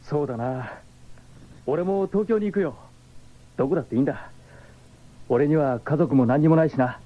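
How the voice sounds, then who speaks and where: An actor playing a bewildered, earnest young orphan turned cyborg hero, in dramatic 1970s Japanese radio drama style. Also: male voice, a little high, moderate pace, natural voice. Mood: wistful. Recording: dull old radio tape, loud music.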